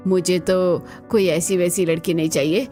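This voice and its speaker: A woman's voice: on the low side, steady tone, normal speed, dark tone, soft background music, close to an everyday voice. A woman voicing a demanding, proud middle-aged mother-in-law in a family cartoon, firm and emphatic.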